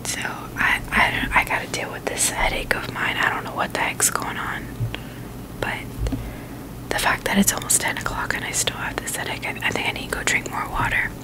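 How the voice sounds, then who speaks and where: A woman whispering in a breathy, unvoiced voice, chatting casually and haltingly in a gentle ASMR style. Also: poor audio. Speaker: ASMR video host.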